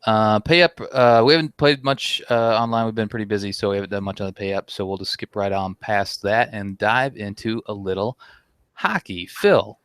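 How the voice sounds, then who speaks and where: Smooth low-voiced man hosting. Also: moderate pace, a bit bright, no echo. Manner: leads the talk, asks questions. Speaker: podcast co-host. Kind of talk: casual chat.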